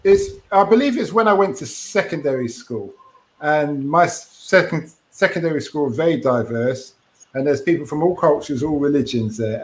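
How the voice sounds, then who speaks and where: A man's voice: a little high, poor audio. A man co-hosting a podcast, chatting casually and thoughtfully over a video call, often restarting his phrases. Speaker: podcast co-host.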